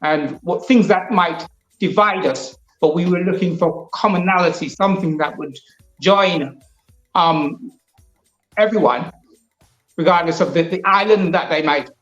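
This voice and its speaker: A man's voice: high voice, a bit bright, roomy sound, dull audio, soft background music. A man raised partly in Jamaica, a guest on an interview show, explaining at length and stumbling over the first words of his answers. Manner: halting, repeats words as he starts answers.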